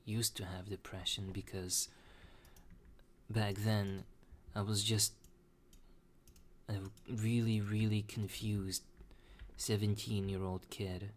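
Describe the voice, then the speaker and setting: A young man talking slowly and hesitantly in a personal confessional monologue, with many restarts. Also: lowish voice, little pitch movement, a little hiss or hum. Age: young adult. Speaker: vlogger.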